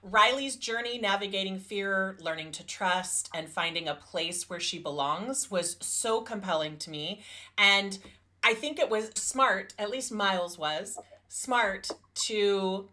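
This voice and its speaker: A woman's voice: mid-range voice, measured pace, bright, forceful tone. A woman co-hosting a casual book review podcast, talking informally and with emphasis. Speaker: podcast co-host reviewing romance novels.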